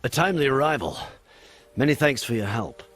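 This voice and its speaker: A man's voice: mid-range voice, animated, a little fast, a bit bright, soft background music, natural voice. An actor playing a courteous, grave male caravan leader telling his account in a fantasy video game. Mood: grave, grateful.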